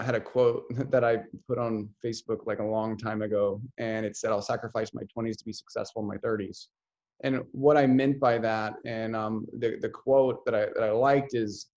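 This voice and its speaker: A man's voice: mid-range voice, a little mellow, muffled recording. A man giving earnest investing advice as a podcast guest, with frequent restarts, heard over a remote call. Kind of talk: casual interview chat.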